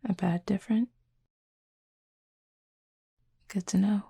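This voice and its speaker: A woman playing a reserved, gently teasing cupid roommate in an intimate audio roleplay, speaking softly and breathily in a dry, measured way. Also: medium pitch, fairly even intonation, gravelly, husky, exaggerated voice. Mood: curious, slightly awkward. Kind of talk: intimate roleplay audio drama, addressing the listener.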